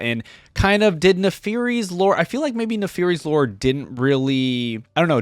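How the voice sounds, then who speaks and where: A man's voice: mid-range voice, smooth, no echo, clean studio sound. A man giving casual reaction commentary as a video host, thinking aloud informally.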